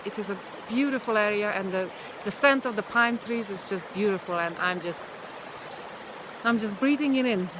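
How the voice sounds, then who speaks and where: A woman with a Hungarian accent who is not a native English speaker, talking hesitantly to camera in a casual vlog, outdoors by a river. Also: mid-range voice. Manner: hesitant, with many pauses and fillers. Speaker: vlogger. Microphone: handheld camera or phone at arm's length. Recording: outdoor recording with rushing water noise. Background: rushing river water.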